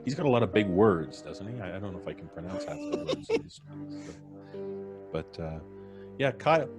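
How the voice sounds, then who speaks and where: A man's voice: low-pitched, sing-song, normal speed, over music. A man hosting a live stream in casual, informal and jokey chat. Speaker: host of a horror audio drama podcast live stream.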